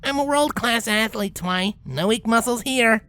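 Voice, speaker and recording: Man putting on a cocky, raised-pitch girl pegasus. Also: measured pace, bright, forceful tone, smooth, exaggerated voice. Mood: defensive, boastful. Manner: boastful, slangy, defensive. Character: cocky, brash young female pegasus athlete. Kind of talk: character voice in a fan fiction audio reading.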